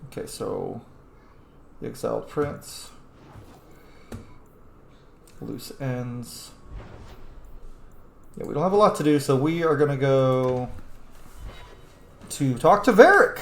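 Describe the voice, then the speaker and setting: A man hosts a casual game playthrough in a mid-pitched voice. He is informal and sweary, often muttering to himself, in an echoey room with faint noise. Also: very animated, takes it slowly, gravelly, pauses often. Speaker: gamer hosting a playthrough. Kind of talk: casual gameplay commentary.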